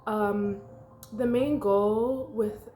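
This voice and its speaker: A woman's voice: medium pitch, steady tone, very slow, warm tone. Congested young woman rambling in an echoey room. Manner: rambling and chatty, full of likes and ums. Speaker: meditation vlogger. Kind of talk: casual unscripted guided meditation talk. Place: her apartment. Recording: echoey room with faint music.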